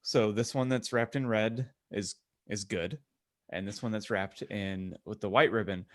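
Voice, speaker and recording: Low-voiced man chatting casually. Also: fairly even intonation, a little slow. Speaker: podcast co-host. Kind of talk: casual joking chat and anecdotes.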